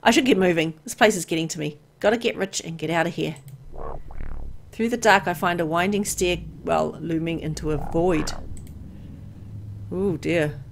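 Expressive woman narrating a horror story. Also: on the low side, normal speed, gravelly, pauses often, a little hiss or hum. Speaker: narrator reading a visual novel aloud. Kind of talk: dramatic scripted narration.

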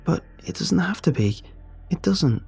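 A man's voice: a little high, big pitch swings, a little slow, vocal fry, darkish tone, husky, faint music behind, pitched above the performer's own voice, exaggerated voice. A man voicing an earnest young man who pleads desperately with a friend. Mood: pleading.

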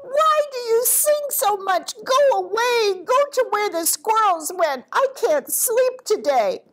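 A woman's voice: extremely high pitch, lively intonation, slow, pitched above the performer's own voice, full character voice, poor audio. A woman voicing a sleepy, fretful male owl in a children's story, whining and hooting plaintively. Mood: cranky.

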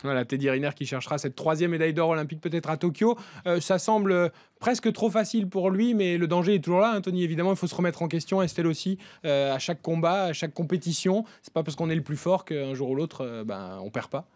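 A man's voice: a little high, fast, hardly any pauses, a little mellow. A man hosting a live sports panel on radio, speaking at a desk microphone in a studio. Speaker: radio sports show host.

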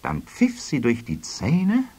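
A man playing the narrator of a children's fairy tale, reading scripted storybook prose with expressive, dramatic phrasing. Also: a little high, takes it slowly, husky, exaggerated voice. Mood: suspenseful.

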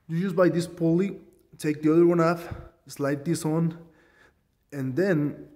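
Guy filming his garage on his phone, slightly high voice, rambly, ums everywhere, joking about his own work, big echo. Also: a little mellow. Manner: rambling, self-deprecating, lots of ums and uhs. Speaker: DIY fabricator showing a tool mod. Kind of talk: casual how-to demonstration. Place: home garage workshop, indoors. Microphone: handheld phone camera.

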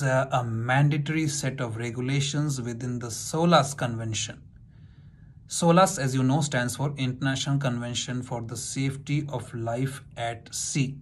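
A man in his forties, a maritime lecturer, explaining an exam topic in a steady, instructive way with occasional "uh" fillers, recorded close to a webcam in a small office. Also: mid-range voice, a little hiss or hum. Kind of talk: explanatory exam-prep lesson.

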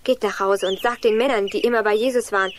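A woman's voice: medium pitch, steady tone, fast, a little hiss or hum, close to an everyday voice. A woman playing an earnest young girl in a children's radio play, telling things in an eager, explanatory way. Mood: earnest storytelling. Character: Juliane, earnest young girl lost in forest.

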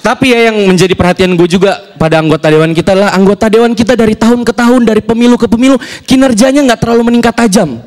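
A man's voice: high voice, very fast, hardly any pauses. A young man performing a stand-up comedy set on a TV studio stage with a handheld mic, acting out voices as he tells his jokes. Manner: animated, acts out voices and dialogue.

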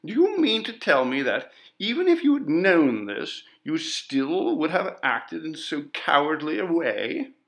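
A man's voice: a little high, very animated, measured pace, vocal fry, few pauses, pitched above the performer's own voice, full character voice. A man voicing a pompous male coroner who sharply questions a witness in a read-aloud ghost story. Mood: stern. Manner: sharp, lecturing, indignant.

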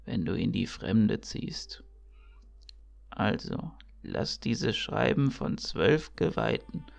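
A man playing a kindly old priest and mentor in an audio drama, speaking in a measured, ceremonial, gravelly way. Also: medium pitch, exaggerated voice. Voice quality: creaky, gravelly. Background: music.

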